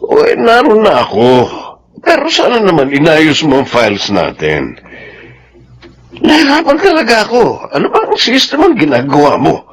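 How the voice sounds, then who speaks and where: Animated, exasperated Filipino doctor. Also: male voice, a little high, normal speed, breathy edge, exaggerated voice. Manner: exasperated, complaining. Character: exasperated male doctor scolding his assistant. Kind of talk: Filipino radio drama acting.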